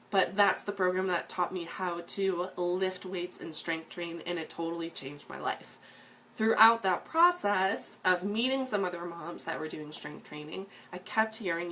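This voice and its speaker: A woman in her thirties with a slightly hoarse, froggy voice, telling viewers her personal story in a casual, earnest way with frequent ums. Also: fairly low pitch, sharp tone, slight background noise.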